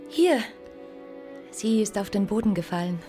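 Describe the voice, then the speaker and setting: An actress playing a demure, reserved young farmer's daughter in a literary radio play. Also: female voice, medium pitch, lively intonation, measured pace, dark tone, soft background music, lightly acted. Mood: helpful.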